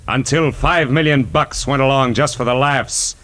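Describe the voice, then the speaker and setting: An actor playing a cynical, wisecracking private detective in a 1940s radio noir drama, with a wry, clipped, hardboiled delivery. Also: male voice, mid-range voice, steady tone, moderate pace, a bit bright, close to an everyday voice. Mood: ominous. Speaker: lead actor and narrator. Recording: vintage 1940s radio broadcast, music behind.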